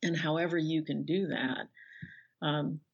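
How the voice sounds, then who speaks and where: An older woman speaking as an interview guest, thoughtful and conversational, pausing as she searches for words. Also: deep voice, fairly even intonation, vocal fry, husky. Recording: slightly dull, band-limited audio.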